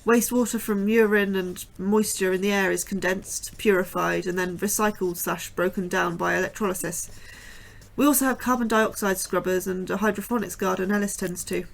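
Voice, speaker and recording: A woman's voice: mid-range voice, fairly even intonation, normal speed, vocal fry, natural voice, poor audio. An actress playing a sarcastic, anxious young astrobiologist who narrates her days at the base. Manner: wry, chatty, sarcastic. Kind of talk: audio drama acting and narration.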